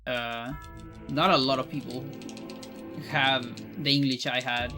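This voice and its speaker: A man's voice: mid-range voice, fairly even intonation, a little slow, over music. A man from Chile, a native Spanish speaker with a Chilean Spanish accent, chatting casually with viewers while gaming, musing aloud with frequent restarts. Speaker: streamer.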